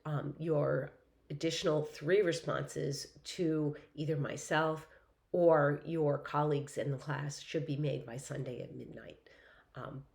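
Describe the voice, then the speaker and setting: A woman in her fifties, hoarse from laryngitis, giving a recorded course welcome lecture as a college instructor, with a conversational delivery full of ums and restarts. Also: sits low, a little mellow.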